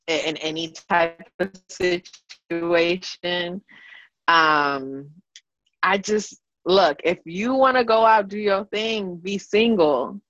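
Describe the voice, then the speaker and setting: A woman's voice: on the low side, fairly bright tone, no echo. A woman co-hosting a podcast from her phone over a video call, laid-back and agreeable in casual chat. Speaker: podcast co-host. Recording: dull dry video-call audio.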